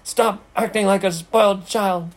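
A man's voice: fairly high pitch, measured pace, a little mellow. A man voicing a frantic, emotional young pig in a children's storybook reading, urgent, pleading and melodramatic. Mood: desperate, pleading.

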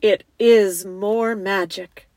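A woman voicing a great male storybook lion, majestic and gentle, speaking gravely and slowly. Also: medium pitch, fairly even intonation, no echo, low-quality recording. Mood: solemn, assured.

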